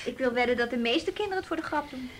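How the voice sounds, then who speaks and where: A woman's voice: high voice, expressive, rapid-fire, a little hiss or hum. An actress playing a cheeky, defiant rich teenage girl in a naturalistic radio drama, with a pert, scornful manner. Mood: confessing, cheeky.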